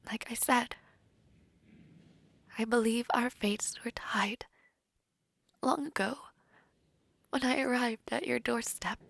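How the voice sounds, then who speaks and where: A woman playing a tender, devoted princess bride in a romantic fantasy audio roleplay, speaking in a soft, breathy, heartfelt way. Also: on the high side, steady tone, takes it slowly, long pauses, dry recording, heavily acted.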